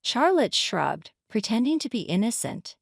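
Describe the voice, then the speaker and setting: A woman's voice: a little high, sing-song, normal speed, vocal fry, no room sound, studio quality. A woman reading a scripted, dramatic first-person story as its narrator.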